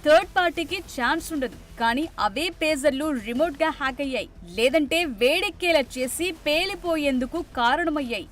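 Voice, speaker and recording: A woman's voice: sits high, brisk, few pauses, a bit bright. A woman delivering a scripted news narration as a reporter. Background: music behind the voice.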